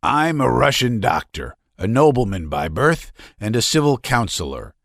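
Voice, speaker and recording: A man's voice: medium pitch, sing-song, moderate pace, no room sound, clean studio sound, voice pushed up. A man reading aloud, voicing an emphatic, blustering middle-aged doctor. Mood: indignant. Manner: emphatic, then shaky and soft.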